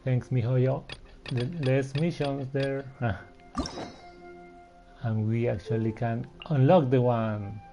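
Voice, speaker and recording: A man's voice: mid-range voice, steady tone, slow, long pauses. A man giving casual gameplay commentary, informally talking to himself and to the game's characters. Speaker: game streamer. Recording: dull, band-limited sound with game music. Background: clear game music.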